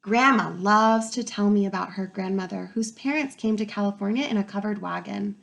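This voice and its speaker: A woman reading a picture book aloud to young children like a teacher, expressive and pausing to ask wondering questions. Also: mid-range voice, creaky. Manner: expressive, pauses to pose questions to young listeners.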